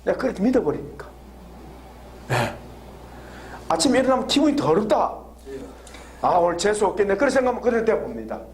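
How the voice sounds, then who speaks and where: A man preaching a lively sermon, laughing often and drawing call-and-response answers from the congregation with frequent questions. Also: high voice, fairly quick, long pauses. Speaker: pastor. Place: church hall with congregation.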